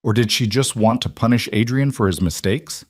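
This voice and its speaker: A man's voice: medium pitch, moderate pace, no echo, studio quality. A man reading scripted dramatic romance fiction as an audiobook narrator.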